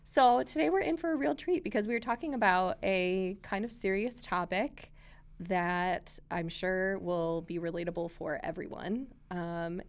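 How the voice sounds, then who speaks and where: Bubbly, slightly hoarse woman host. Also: medium pitch. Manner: bubbly, giggly, chatty. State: hoarse from a cold. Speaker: podcast host. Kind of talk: casual chat. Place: library room.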